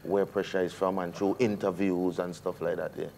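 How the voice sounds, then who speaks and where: A man's voice: low voice, moderate pace, creaky, slight background noise. A man in his thirties from the US Virgin Islands with a Jamaican-tinged Caribbean accent, chatting loosely in a TV studio interview with many fillers. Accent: Caribbean English, Jamaican-influenced. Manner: relaxed, hesitant, many fillers. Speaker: reggae artist guest. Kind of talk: casual interview chat. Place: TV studio set.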